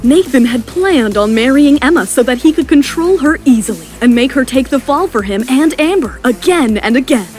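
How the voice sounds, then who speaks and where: A woman's voice: high voice, animated, moderate pace, few pauses, a little mellow. A woman reading dramatic scripted narration as the narrator of an audio drama. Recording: music behind the voice.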